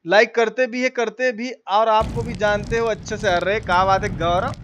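A man with a Bihari accent giving excited, colloquial gameplay commentary as a live-streamer, repeating himself and exclaiming. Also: high-pitched, moderate pace. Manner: colloquial, repetitive, exclaiming, mock-abusive. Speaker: live-streamer playing a horror game. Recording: noisy stream with game sounds behind.

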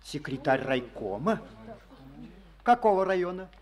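A man's voice: sits high, sing-song, measured pace, darkish tone. An actor playing an earnest young male Komsomol secretary in a village, chairing a meeting and reading a letter aloud in a declamatory way, in an old Soviet radio play. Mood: suspicious.

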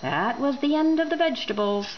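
A woman reading a children's book aloud indoors, expressive and deliberate. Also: sits high. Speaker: storyteller. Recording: dull home recording with background noise.

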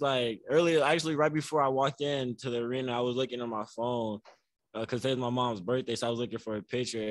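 Young man, college basketball player, postgame press conference, easygoing, soft-spoken, many fillers, dry table mic. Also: mid-range voice, no echo. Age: young adult. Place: press room before a banner. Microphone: table mic on a stand.

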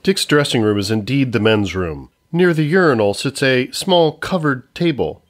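A man's voice: mid-range voice, big pitch swings, normal speed. A man reading scripted narration for a comedy story, as its narrator.

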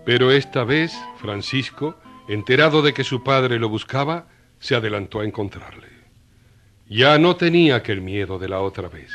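A man's voice: mid-range voice, animated, moderate pace, fairly bright tone, soft background music. A man narrating a radio drama in a conversational storytelling style.

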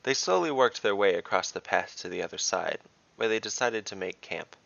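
A man with a fairly low, bright voice narrates and referees a fantasy game. His delivery has a gravelly creak at the edges, and he sounds like the one steering the tale. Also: expressive, brisk, no echo, dull audio. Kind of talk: scripted story narration and tabletop game refereeing.

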